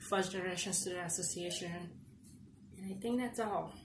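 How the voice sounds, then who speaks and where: A woman's voice: on the low side, normal speed. A young woman from Nigeria, an engineering student, answering interview questions in a loose, chatty way with fillers. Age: young adult. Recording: roomy echo, faint noise.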